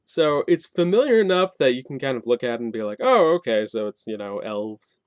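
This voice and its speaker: A man's voice: medium pitch, animated, brisk, clean tone, no room sound. A man giving a casual spoken review and explaining a game setting, colloquial and wry with sarcastic asides. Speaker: tabletop game reviewer and host.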